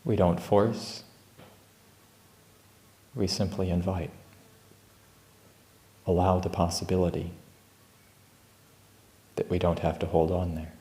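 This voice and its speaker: A man with a deep voice guides a meditation in gentle, calm instructions. His delivery is even, barely rising or falling, with plenty of space between phrases. The sound is roomy and echoing. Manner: calm, unhurried, gentle instruction with long pauses. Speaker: meditation teacher at a Buddhist monastery. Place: indoor room at a monastery.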